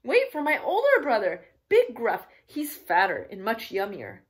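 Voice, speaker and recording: A woman's voice: on the high side, wildly swooping pitch, unhurried. A woman voicing a clever, cheeky young male billy goat in a children's storybook, perky and bleating. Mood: cheeky, persuading. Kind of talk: animated children's storybook reading.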